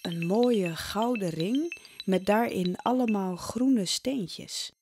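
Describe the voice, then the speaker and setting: A woman narrating a scripted radio drama in a measured, suspenseful storytelling manner. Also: mid-range voice, darkish tone, slightly rough. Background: faint music.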